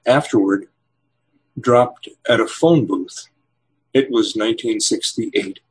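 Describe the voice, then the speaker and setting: A man in his seventies, a poet, reading a poem slowly and then answering interview questions with many pauses and fillers. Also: on the low side, a little hiss or hum, low-quality recording. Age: 70s. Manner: slow measured reading, hesitant with fillers when chatting. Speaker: poet and novelist guest.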